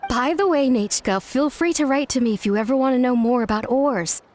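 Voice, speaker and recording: Woman playing cheerful, high-voiced village woman. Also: a little fast, a little mellow, faint music behind, natural voice. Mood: cheerful, kindly. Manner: cheerful, kindly. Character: friendly, generous village woman who loves ores. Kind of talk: anime video game voice acting.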